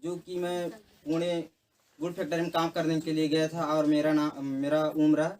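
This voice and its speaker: A man in his twenties introducing himself haltingly, correcting himself as he goes. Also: on the high side, monotone, a little mellow. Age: 20s. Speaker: rescued migrant worker. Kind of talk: self-introduction to camera. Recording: voice from a distance.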